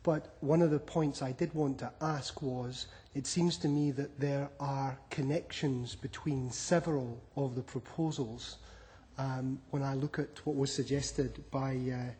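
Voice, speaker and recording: A man in the audience at a forum, asking his question in a formal, measured way. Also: medium pitch, fairly even intonation, a little mellow. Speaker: audience member. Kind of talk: question from the floor. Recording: long hall reverb.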